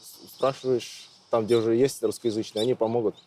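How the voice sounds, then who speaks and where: A man's voice: mid-range voice, flat delivery, brisk, dark tone, slight background noise. A man from Russia giving casual, conversational answers as an interview guest. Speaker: interview guest, migrant worker.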